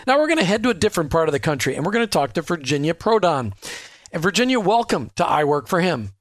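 High-voiced male radio host, dry sound. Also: big pitch swings, no room sound. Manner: upbeat, promotional, announces details slowly. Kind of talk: faith-based radio talk show.

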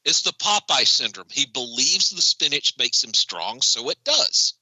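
A man co-hosting a casual podcast chat about a TV episode, animated and joking. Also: medium pitch, a little slow, no echo, rough recording. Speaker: podcast co-host.

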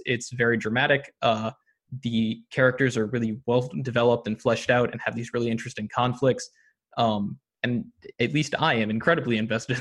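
A man talking as an interview guest, enthusiastic and full of filler words like um and uh. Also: medium pitch. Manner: enthusiastic, many ums and uhs. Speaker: podcast guest, audio drama producer. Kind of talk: casual interview talk.

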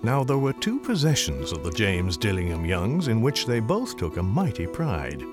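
An actor playing a wry, theatrical narrator of a radio drama, telling the story in an ornate, deliberate way. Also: male voice, lowish voice, big pitch swings. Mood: grand, admiring. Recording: music clearly behind.

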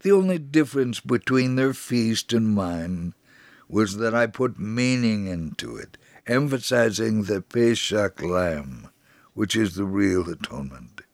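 A man playing a devout Holocaust survivor in a dramatic memoir reading, telling his story in an earnest, measured way. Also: on the low side, very animated, no echo. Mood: sincere, reflective. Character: devout male Holocaust survivor recalling his life, sincere.

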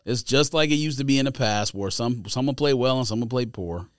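A man's voice: mid-range voice, a little fast, smooth, no room sound, clean studio sound. A man debating sports casually as a podcast co-host, blunt and opinionated in how he talks.

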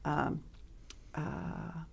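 A woman speaking in a group discussion, halting and pausing long while searching for words. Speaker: discussion participant.

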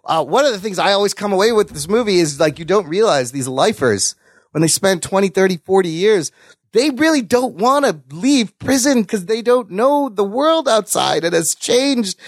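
Higher-voiced man, casual and sweary. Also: lively intonation, normal speed, dry recording. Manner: enthusiastic, frequently swearing. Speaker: podcast host. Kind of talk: casual film discussion.

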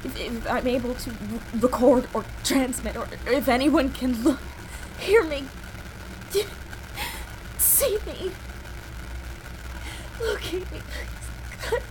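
A woman playing a terrified stranded space explorer in an audio drama, crying and pleading in halting, stammered phrases. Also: sits high, noisy background. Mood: despairing, frightened. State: crying, panicked.

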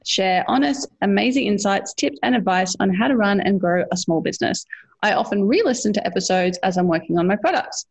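Gravelly, husky woman host. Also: medium pitch, expressive, brisk. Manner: chatty, laughs often. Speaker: podcast host. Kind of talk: casual podcast chat.

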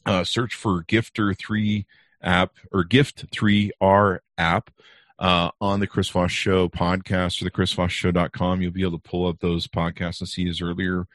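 Man, podcast host, very low voice, dry sound, casual rambling chat. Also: little pitch movement, measured pace, a bit bright, dry recording. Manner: rambling, chatty, many fillers and restarts.

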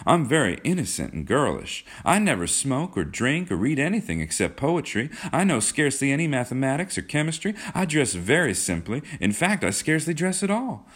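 A man acts out a naive rich teenage girl in a storybook. The delivery is gushing and earnest, proud yet coy. The pitch is lifted well up and moves about in lively swings. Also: normal speed, slightly rough. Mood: distressed, earnest. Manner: gushing, ingenuous.

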